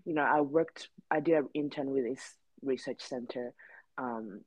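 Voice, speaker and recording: Low-voiced young woman chatting loosely. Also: normal speed, a little mellow, husky, no room sound, rough recording. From Rwanda. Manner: rambling, many fillers and restarts. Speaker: podcast co-host. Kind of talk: casual chat.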